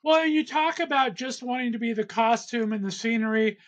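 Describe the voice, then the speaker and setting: A man hosting an interview in a casual chat, giving quick, encouraging reactions. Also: extremely high pitch, fairly bright tone. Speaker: interview host.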